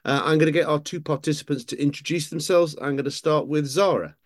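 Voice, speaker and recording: A man hosting an interview, asking brisk questions with light humor. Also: a little high. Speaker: interviewer, host.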